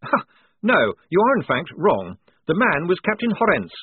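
A man's voice: sits high, wildly swooping pitch, normal speed, slightly rough, no echo, narrow, tinny audio, voice pushed up, heavily acted. A man voicing a nice but worried army officer who is prim, polite and pompous, trying to sound firm. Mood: blustering. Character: nice, worried young male army officer feigning firmness.

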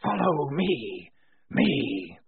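A man's voice: sits high, sing-song, unhurried, husky, no room sound, phone-quality sound, pitched above the performer's own voice, full character voice. A man voicing a small devout girl who commands with ghostly authority in a reedy, whispery tone. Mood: calm command.

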